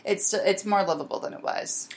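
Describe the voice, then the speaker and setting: A woman's voice: mid-range voice, moderate pace. Woman, mid-range voice, podcast co-host, casual chat, joking and rambling.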